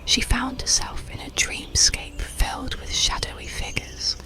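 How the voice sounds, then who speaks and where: The narrator speaks in a breathy whisper with a gravelly crackle underneath. The bedtime story comes out very slowly, in soothing, measured ASMR phrases meant for sleep. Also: medium pitch. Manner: soothing, unhurried, measured delivery. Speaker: audiobook narrator.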